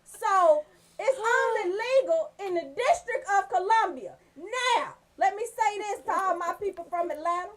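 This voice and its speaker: An American woman hosting a comedic radio show, telling stories in an animated, folksy, profane way. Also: very high-pitched, a little slow, background noise. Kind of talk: comedic radio banter and storytelling.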